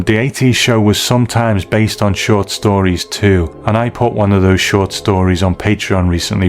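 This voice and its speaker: A man hosting a podcast, talking conversationally and appreciatively to his listeners. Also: deep voice. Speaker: podcast host. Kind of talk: podcast listener feedback and sign-off.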